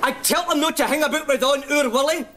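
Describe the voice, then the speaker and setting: A dour old Scottish dad mutters in an exasperated way. His voice sits very high for a man. Loud background noise runs under every line. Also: fast talker.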